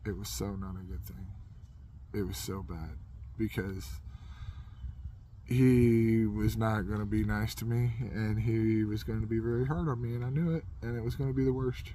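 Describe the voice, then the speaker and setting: A man's voice: fairly low pitch, steady tone, moderate pace, warm tone. Man rambling through a funny story, noisy background. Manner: rambling, self-deprecating. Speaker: podcast host telling a funny story. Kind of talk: casual comedic anecdote.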